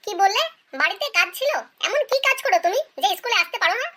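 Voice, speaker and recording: A performer voicing a strict, bossy female schoolteacher who scolds and questions pupils in an animated comedy skit. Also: extremely high pitch, fast, heavily acted. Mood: questioning.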